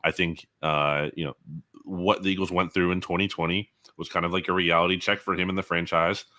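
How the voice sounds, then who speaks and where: A man's voice: low voice, little pitch movement, brisk, bright, forceful tone. A man giving conversational, opinionated sports commentary as an interview guest, often qualifying his points. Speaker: guest football analyst.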